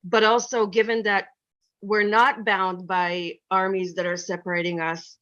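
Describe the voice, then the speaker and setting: A woman's voice: mid-range voice, flat delivery, unhurried, a bit bright, lo-fi sound. A woman from Israel, a former peace activist, asking an earnest, reflective question on a video call. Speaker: audience member asking a question. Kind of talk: thoughtful spoken question.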